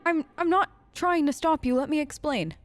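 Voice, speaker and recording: A woman doing chatty, playfully expressive role-play commentary on a video game. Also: high-pitched, normal speed, dry recording. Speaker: let's play streamer. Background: faint game music.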